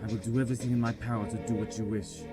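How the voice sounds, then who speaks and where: A man's voice: lowish voice, flat delivery, rapid-fire, music in the background, lightly acted. A man playing a loyal, anguished friend in an audio drama, speaking in an earnest, formal way. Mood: anguished, resigned.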